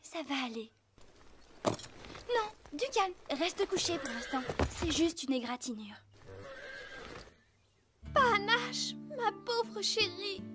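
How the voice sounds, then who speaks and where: Earnest teenage girl rider, very high pitch, cartoon dub acting, clear music backdrop. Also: big pitch swings, slow pace, long pauses, full character voice. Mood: soothing.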